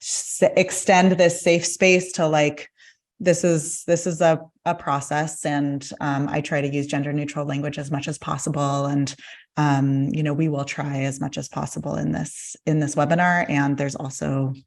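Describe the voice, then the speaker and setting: A woman who is a midwife, hosting an interview over a video call. She is gentle and affirming, often giving soft "mm" responses. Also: deep voice, little pitch movement, normal speed, gravelly. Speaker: host, licensed midwife. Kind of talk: interview host chat.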